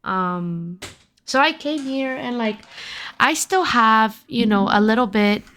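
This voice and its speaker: A woman's voice: fairly high pitch, expressive, slow pace, fairly bright tone. A woman from Venezuela with a light Venezuelan accent, a podcast guest talking hesitantly with many ums. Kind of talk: casual chat.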